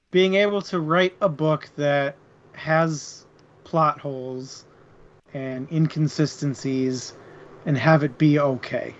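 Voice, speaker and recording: A man's voice: a little high, a bit bright, highs cut off. A man co-hosting a book club show, giving his opinions in casual, unscripted chat.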